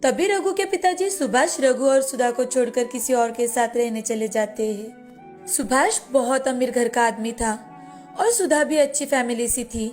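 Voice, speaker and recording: A woman's voice: high voice, a little mellow. A woman storyteller reading scripted moral tales as a narrator.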